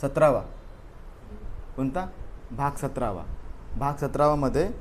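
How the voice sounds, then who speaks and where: A man's voice: mid-range voice, slow. A man leads a classroom exam review. He drills the answers by saying them again and again and keeps questioning his students. Faint room noise sits behind his voice. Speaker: teacher.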